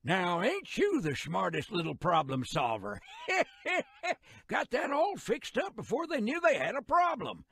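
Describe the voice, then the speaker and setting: An actor playing a folksy, cheerful old-timer with a rural Western American accent, narrating a video game cutscene in a gravelly, drawling storyteller's way. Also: male voice, high voice, wildly swooping pitch, a little slow, a bit bright, heavily acted. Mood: gleeful, admiring. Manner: colloquial drawling storyteller, exaggerated.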